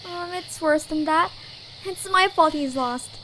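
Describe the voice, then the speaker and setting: A woman's voice: extremely high pitch, measured pace, noisy background. A woman voicing an earnest, hesitant young girl adventurer in an audio drama. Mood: worried, guilty. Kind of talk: audio drama character acting.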